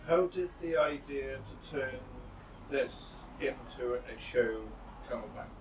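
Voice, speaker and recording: A man's voice: medium pitch, slow pace, slight background noise. A man asking interview questions as the interviewer, outdoors. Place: outdoors on a sea front. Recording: roomy echo, distant mic, faint music.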